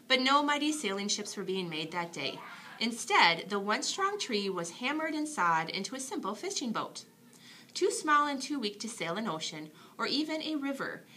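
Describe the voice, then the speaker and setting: A woman with a bright, clear-cut delivery reads a bedtime storybook. Her pitch swings in a lively, expressive way for young listeners. A faint noise sits behind the voice. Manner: expressive, dramatic storytelling for children. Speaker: storybook reader. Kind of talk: bedtime story read aloud.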